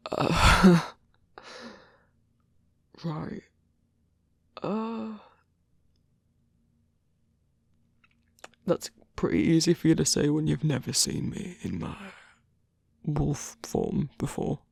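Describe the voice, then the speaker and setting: Man acting a slow, husky, bashful werewolf. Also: fairly high pitch, lively intonation, no room sound, heavily acted. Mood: nervous, self-conscious. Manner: halting, hesitant, sighing, gruffly bashful. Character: grumpy but tender, hesitant male werewolf lover in human form. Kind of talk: intimate ASMR roleplay acting.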